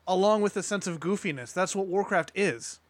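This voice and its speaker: A man's voice: high-pitched, brisk, no room sound. A man speaking as a panelist in a casual podcast discussion, earnest and emphatic about his points.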